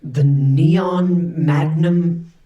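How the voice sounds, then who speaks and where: An actor playing a dutiful, literal-minded android valet, formal and deadpan, in a comic sci-fi audio drama. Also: male voice, on the high side, drawn-out words, highs cut off. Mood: matter-of-fact, apologetic.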